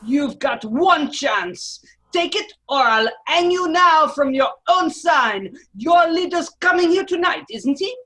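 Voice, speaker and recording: A menacing agent of the French Revolution, acted by a woman in a radio drama table read. The voice is fairly high. The delivery is clipped, commanding and coolly formal. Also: lively intonation, slow, a bit bright, heavily acted. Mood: threatening. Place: remote virtual table read.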